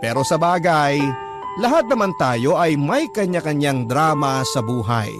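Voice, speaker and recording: A man's voice: a little high, sing-song, unhurried. A man narrating a love story in the first person, in a confiding way, speaking directly to the host. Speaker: narrator reading a listener's letter. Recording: clear music bed behind narration.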